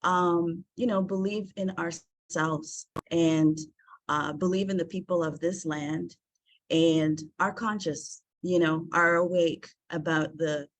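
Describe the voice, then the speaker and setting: A woman from South Africa talks through a presentation on a video call. Her voice sits on the lower side and the sound is dry and close. She is earnest and warm, pausing often on filler ums. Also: dry recording. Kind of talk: presentation to an online group.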